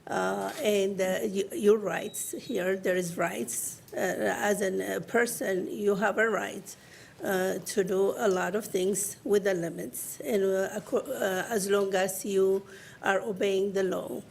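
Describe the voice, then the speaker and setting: A woman from Africa and the Middle East speaks as an on a discussion panel. She talks very slowly, in halting phrases with many fillers, at a middling pitch. A faint noise runs underneath. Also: fairly even intonation, gravelly, darkish tone. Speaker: panel guest.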